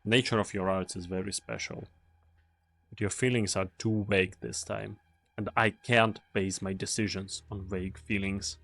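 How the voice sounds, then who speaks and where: A weary, commanding mercenary played by a man speaks in a low, gravelly voice. He is guarded and brooding, with grave, measured phrasing. The game fan-dub performance rings with a long reverb. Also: little pitch movement, fairly bright tone.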